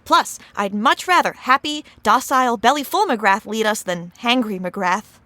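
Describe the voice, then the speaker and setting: A woman's voice: fairly high pitch, a little slow, sharp tone. An actress playing a cheerful, earnest female teammate in a comedy audio drama, bubbly and upbeat.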